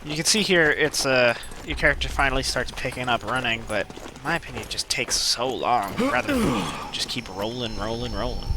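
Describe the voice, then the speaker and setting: A man's voice: mid-range voice, animated, fairly quick, background noise. An American man giving casual, rambling, wry gameplay commentary. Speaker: gamer, let's play host. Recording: loud game gunfire behind voice. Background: video game gunfire and ambience.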